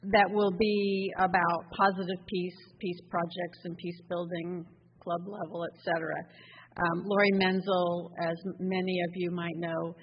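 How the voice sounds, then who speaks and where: A woman host introduces the next speaker in a flat, even voice, pausing often with "um" and "uh". The sound is dull and carries a long hall reverb with faint noise behind it. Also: fairly low pitch. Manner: halting, emotional, filler-heavy. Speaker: event host. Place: conference hall.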